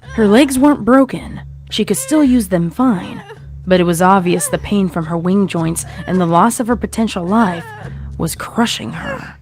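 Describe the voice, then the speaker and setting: A woman's voice: mid-range voice, normal speed, a little mellow. A woman reading a scripted story as its narrator, in a dramatic storytelling style. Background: faint music.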